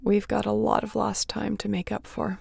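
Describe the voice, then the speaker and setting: A heavily creaky female space captain, acted by a woman in a sci-fi audio roleplay. She speaks softly and openly at a middling pitch, battle-worn but tender, with a wry streak and a breathy edge. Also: level tone, moderate pace, exaggerated voice. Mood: playful, relieved. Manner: soft, sighing, emotionally open. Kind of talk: intimate audio roleplay acting.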